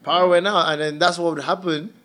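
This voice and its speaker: A man's voice: a little high, fairly even intonation, fairly quick, a bit bright. A man chatting informally and slangily as a podcast co-host. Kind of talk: casual conversational chat.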